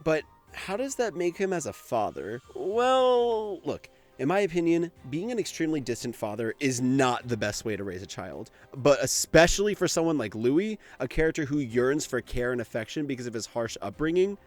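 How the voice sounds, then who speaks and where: A man's voice: mid-range voice, big pitch swings, moderate pace, few pauses, faint music behind. A man giving casual scripted commentary for a video essay, in an informal, conversational way with the odd swear word.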